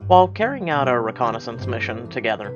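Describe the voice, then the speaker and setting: Guy doing formal scripted story narration, measured, normal pitch, music really loud in the back. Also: sing-song, highs cut off. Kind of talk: scripted narration, reading story diary entries.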